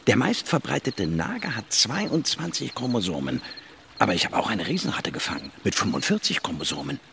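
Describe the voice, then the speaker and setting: An actor playing an inquisitive biologist narrating a space expedition in an audio drama, in a measured, explanatory manner. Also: male voice, medium pitch, wildly swooping pitch, gravelly, fairly bright tone, a little hiss or hum, exaggerated voice. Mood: absorbed, serious.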